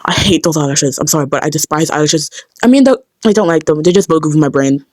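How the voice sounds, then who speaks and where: A woman's voice: low-pitched, fast, a little mellow, dry recording. A woman giving casual behind-the-scenes commentary, muttering asides to herself as she works. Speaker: amateur voice actor and vlogger.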